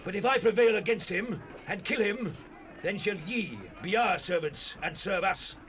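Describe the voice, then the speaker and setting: High-pitched, menacing warrior champion. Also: male voice, moderate pace. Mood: defiant challenge. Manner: loud, taunting, declamatory. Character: huge boastful Philistine champion warrior, male, menacing and scornful. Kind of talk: dramatized Bible audio acting. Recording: faintly noisy cassette rip.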